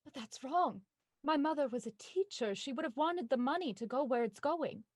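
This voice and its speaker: A woman's voice: sits high, lively intonation, normal speed, no room sound, studio quality. A woman playing an earnest, bereaved young Victorian heiress in a murder mystery audio drama. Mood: hurt questioning.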